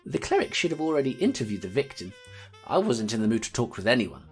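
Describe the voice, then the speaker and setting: A woman's voice: extremely low pitch, big pitch swings, fast talker, darkish tone, faint music behind. A woman voicing a small clawed creature investigator, telling a grim story in clipped noir style for an audio drama. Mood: brooding, recounting. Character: small clawed creature investigator, streetwise, grimly self-deprecating narrator.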